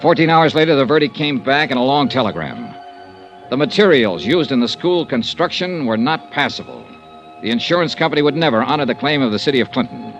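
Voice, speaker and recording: A man's voice: mid-range voice, animated, fairly quick, highs cut off, close to an everyday voice. An American man acting a tough, terse insurance investigator in an old-time radio detective drama, narrating in a clipped, matter-of-fact way. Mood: dry, matter-of-fact. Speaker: lead actor and narrator of a detective serial. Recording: vintage radio recording, faint music.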